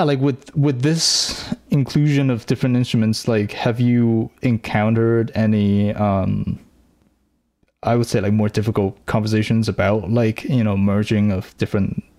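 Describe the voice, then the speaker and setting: A man interviewing a musician in a casual chat, speaking haltingly with many fillers. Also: fairly low pitch, steady tone, a little mellow.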